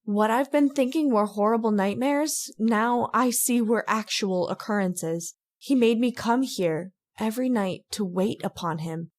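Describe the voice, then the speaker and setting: A woman playing a fearful, haunted lady in an old-time radio drama, earnest and halting, pleading as she speaks. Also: fairly high pitch, natural voice. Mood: sorrowful confiding.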